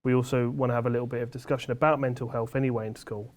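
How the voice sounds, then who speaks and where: A man's voice: mid-range voice, flat delivery, rapid-fire. A young man co-hosting a podcast, reflective and asking probing questions in casual chat. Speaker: podcast co-host.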